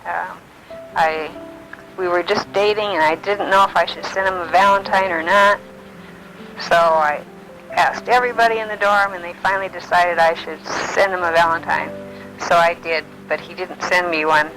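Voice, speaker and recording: An elderly woman from Illinois in her nineties, gently recalling her life in an unhurried way, often pausing with um and uh. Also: on the low side, steady tone, faint music behind, poor audio. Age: older. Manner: gentle, unhurried storytelling with many ums and uhs. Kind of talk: reminiscing, answering memory prompts.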